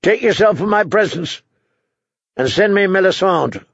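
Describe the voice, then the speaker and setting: An unbending, bitter king acted by a man in a fantasy audiobook. He speaks in a husky, creaking voice with slow, humorless authority. The recording is dry. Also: medium pitch, a little mellow, dry recording, dull audio. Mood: commanding. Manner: commanding, clipped, humorless. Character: stern, unbending, bitter king of iron will.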